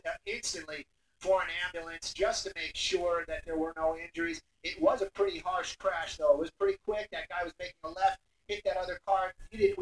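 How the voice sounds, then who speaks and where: A man giving live breaking-news commentary as a helicopter reporter, halting and repeating himself as he describes the scene. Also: high voice, a bit bright. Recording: roomy, distant airborne radio link, noisy.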